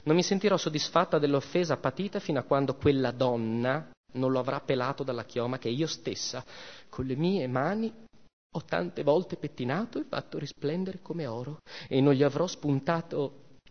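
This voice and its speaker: A haughty, jealous goddess mother who scolds and sneers in a raised, theatrical voice, as a male reader puts her on. The pitch sits well up. The reading is heard as a dull, muffled recording with a long hall reverb. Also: moderate pace. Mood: brooding vengeful. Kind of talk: dramatic reading of a myth.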